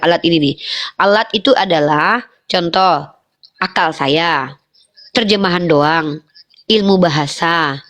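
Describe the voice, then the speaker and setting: A woman hosting a heated live debate, repeating her questions with open exasperation and lecturing in a firm, deliberate way. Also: fairly low pitch. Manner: exasperated, presses the same question again and again. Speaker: debate host.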